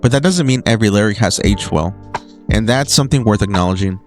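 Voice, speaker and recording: A man's voice: on the low side, quick pace, faint music behind. A man delivering scripted video essay narration as a documentary narrator, with emphatic lists and dramatic short phrases.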